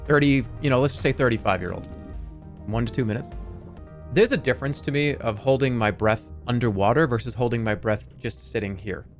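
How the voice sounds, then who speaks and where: A man's voice: medium pitch, a little fast, soft background music. A man in his thirties streaming a game, chatting casually in a rambling, sarcastic way and swearing freely.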